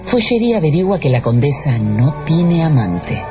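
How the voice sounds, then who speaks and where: A man's voice: fairly high pitch, sing-song, moderate pace. A man narrating a scripted radio drama in a storytelling role. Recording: dull radio recording with music.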